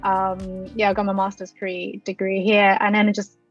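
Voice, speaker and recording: A woman from China who works as a marketing consultant, answering interview questions hesitantly with frequent pauses. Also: mid-range voice, monotone, muffled recording, faint music behind. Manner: hesitant, many ums and restarts.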